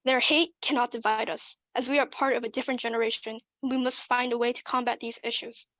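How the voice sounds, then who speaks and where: A woman's voice: on the high side, steady tone, fast talker, pushed and bright, dry recording. A young woman delivering a prepared competition speech in an earnest, persuasive way. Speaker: student speaker.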